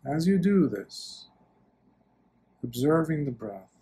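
A man leading a guided meditation as a monk, speaking gently and soothingly in short phrases with long pauses. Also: on the high side, very animated, husky.

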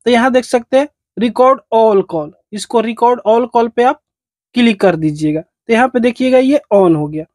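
A man's voice: very high voice, measured pace, a little mellow, dry recording, clean studio sound. A man narrates a step-by-step phone tutorial as its host, in repetitive, instructional phrasing.

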